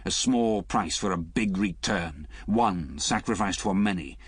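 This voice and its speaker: A cynical, hardened spy, as the reader plays him who speaks curtly with a low, husky voice. The sound is dry and close. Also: male voice, measured pace, no room sound. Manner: curt, sarcastic.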